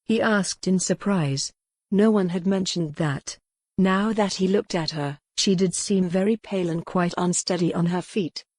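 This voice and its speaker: A woman narrating an audiobook in a calm, even storytelling manner. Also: lowish voice, measured pace, dry recording. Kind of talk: scripted narration.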